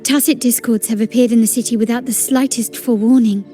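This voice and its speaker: A woman's voice: a little high, fairly even intonation, quick pace, warm tone, faint music behind, close to an everyday voice. An actress playing a composed young noblewoman in a video game, polished and courteous in speech.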